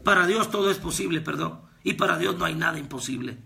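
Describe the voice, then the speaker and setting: Bright, gravelly-voiced preacher man. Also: a little high, fast. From Guatemala. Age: 30s. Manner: fervent preaching with repetitions, rising to shouts. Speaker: pastor and prophet. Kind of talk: recorded devotional sermon.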